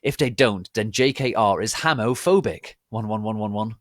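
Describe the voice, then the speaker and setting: A man voicing a gushing, excitable teenage girl who writes fan fiction, in a dramatic reading. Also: on the low side, normal speed, no echo, clean studio sound, lightly acted. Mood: gushing excitement. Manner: breathless, over-excited gushing.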